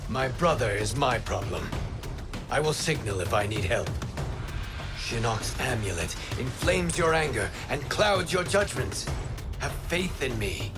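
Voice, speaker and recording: A man voicing a steady male wind god in a video game cutscene, speaking low, calm and reassuring. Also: unhurried, music in the background, lightly acted.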